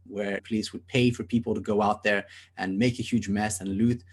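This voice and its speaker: Podcast co-host, young male, husky and lowish, earnest and rambling. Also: level tone, moderate pace. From South Africa. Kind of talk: casual podcast discussion.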